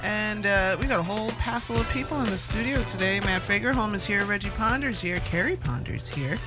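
A woman's voice: mid-range voice, expressive, a little fast, loud music behind the voice. A woman hosting a casual radio talk from a studio, with informal, folksy phrasing. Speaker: radio host.

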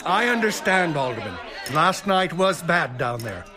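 A man's voice: a little high, very animated, a little slow, crowd noise. A man playing a stubborn, defensive 1919 police chief in a radio drama, speaking in an insistent official manner. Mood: reassuring, official.

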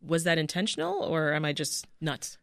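A woman's voice: deep voice, sing-song, normal speed, creaky, no room sound. Playful woman hosting a dry-sounding interview. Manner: informal, playful. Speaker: radio show host, interviewer. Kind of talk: casual chat, interviewing.

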